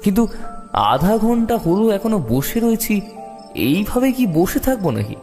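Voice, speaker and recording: A man narrating a detective story in the first person with expressive, suspenseful dramatic delivery. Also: sits high, measured pace. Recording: long reverb, music behind the voice.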